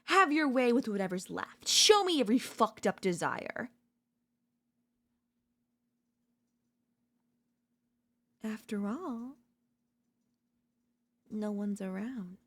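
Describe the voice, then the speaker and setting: Woman acting as unhinged yandere, female, slow deliberate pace, mocking, seductive, theatrical dark ASMR roleplay. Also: mid-range voice, expressive. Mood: manic. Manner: theatrical, taunting, swinging between intimate murmurs and intense outbursts.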